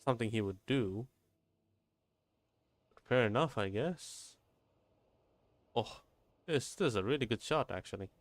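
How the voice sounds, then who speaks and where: A man's voice: mid-range voice, animated, a little slow, pauses often, no echo. A man livestreaming a game, chatting casually and informally, often thinking aloud and repeating his phrases. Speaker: game streamer. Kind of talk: casual livestream gameplay chat.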